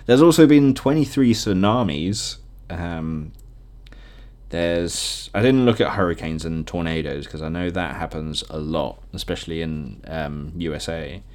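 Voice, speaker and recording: A man's voice: deep voice, expressive, measured pace, darkish tone. A man hosting a podcast in a casual, chatty solo style, with a jokey manner. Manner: jokey, laughs often at own remarks; acts out imagined quotes. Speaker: podcast host.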